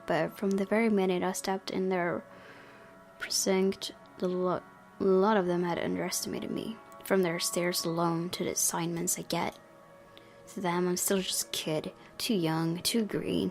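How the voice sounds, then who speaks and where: A woman's voice: on the low side, vocal fry. A woman game streamer reading visual novel narration aloud expressively, dropping in her own asides.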